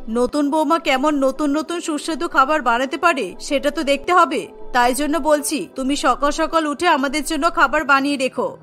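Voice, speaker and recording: A woman's voice: high voice, moderate pace, a bit bright, soft background music, pitched below the performer's own voice. A woman voicing a bossy, coaxing middle-aged mother-in-law in a Bengali cartoon. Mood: expectant, bossy. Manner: commanding, coaxing, talks down to family.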